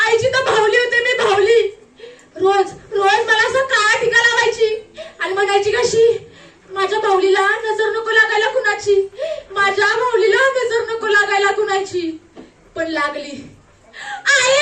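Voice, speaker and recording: A woman's voice: very high-pitched, sharp tone, full character voice. A woman playing an anguished, defiant young acid attack survivor in a melodramatic, tearful theatrical monologue, repeating her phrases. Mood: tearful, wistful, then grief-stricken. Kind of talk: theatrical one-act stage monologue. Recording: echoey room, distant mic, noisy.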